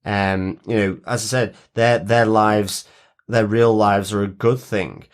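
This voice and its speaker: A man co-hosting a podcast, casually discussing a TV episode in halting phrases with repeated words. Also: lowish voice, fairly even intonation. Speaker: podcast co-host. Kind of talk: casual TV episode review chat.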